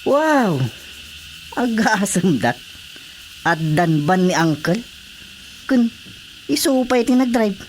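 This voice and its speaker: A woman's voice: mid-range voice, very animated, takes it slowly, pauses often, a little hiss or hum. A woman playing a teasing, chatty countrywoman in an Ilocano radio comedy drama, speaking with an Ilocano accent. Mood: friendly.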